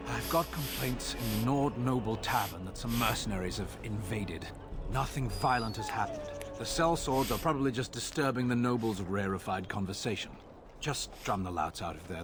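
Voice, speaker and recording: A wry, businesslike city guard sergeant from a fantasy game, played by a male actor. His voice sits fairly low and his delivery is matter-of-fact and a little weary, with music playing underneath. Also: close to an everyday voice. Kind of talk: video game dialogue.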